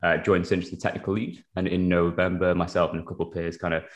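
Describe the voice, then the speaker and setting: Deep-voiced man explaining casually. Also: flat delivery, fast. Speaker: interview guest, head of engineering. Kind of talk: casual professional interview talk.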